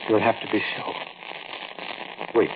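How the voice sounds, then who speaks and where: A man's voice: measured pace, close to an everyday voice. An actor playing a mysterious older man in an old-time radio drama, giving insistent, cryptic commands in clipped phrases. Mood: cryptic, firm. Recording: muffled, crackly old radio recording.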